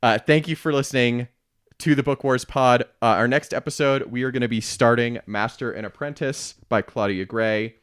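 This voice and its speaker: A man's voice: medium pitch, fairly even intonation, moderate pace, a bit bright, smooth, no echo, clean studio sound. A man hosting a casual book discussion podcast. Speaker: podcast host.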